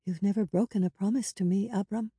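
A woman narrator performs a loving, fearful woman in a drama story. The voice rises higher and turns breathy and pleading, heavy with emotion, in a dry space. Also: fairly even intonation, a little fast, warm tone, no echo.

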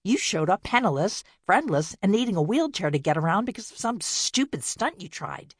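A woman's voice: mid-range voice, sing-song, brisk, dry recording, pitched above the performer's own voice. A woman voicing a hot-tempered young woman who argues defiantly.

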